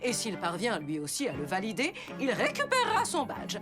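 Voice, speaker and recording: Swooping theatrical superhero headmistress. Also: female voice, fairly high pitch, wildly swooping pitch, brisk, loud music behind the voice. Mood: theatrical, official. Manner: theatrical, authoritative. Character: flamboyant adult female superhero school director. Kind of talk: French children's cartoon dub acting.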